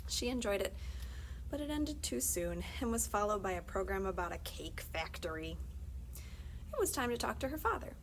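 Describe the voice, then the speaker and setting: A woman's voice: fairly high pitch, a little hiss or hum, poor audio. A woman reads a story aloud expressively, dramatically, with wry asides. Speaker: library story reader.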